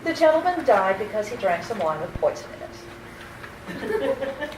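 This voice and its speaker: A woman playing a sweet, serenely batty elderly aunt in a radio play, genteel and gently scolding. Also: mid-range voice, very animated, measured pace, far from the mic. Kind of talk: old-time radio play acting. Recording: echoey room, off-mic, slight noise.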